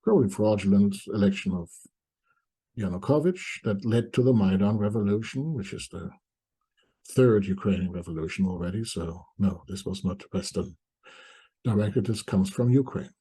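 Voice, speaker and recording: A middle-aged man from East Germany, giving reflective spoken commentary to viewers in deliberate short phrases with pauses. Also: on the low side, dark tone, slightly rough. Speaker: commentator presenting a video essay.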